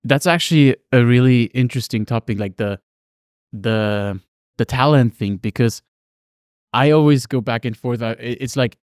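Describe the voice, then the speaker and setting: Clear-voiced man hosting a casual podcast. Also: mid-range voice, moderate pace, a little mellow, no echo, studio quality. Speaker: podcast host.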